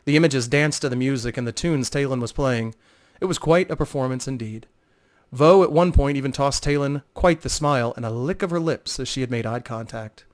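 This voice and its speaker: A man narrating a fantasy story as a dramatic audiobook reading. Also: mid-range voice.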